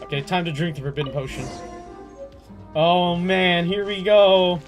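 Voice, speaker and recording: A young man chatting casually on a game livestream in an animated, slangy way, swearing freely. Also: high voice, a little slow, over music. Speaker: game streamer.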